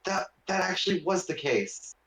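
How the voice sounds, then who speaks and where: A man's voice: sits high, expressive, normal speed, fairly bright tone. A man co-hosting a podcast in casual conversational chat. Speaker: podcast co-host.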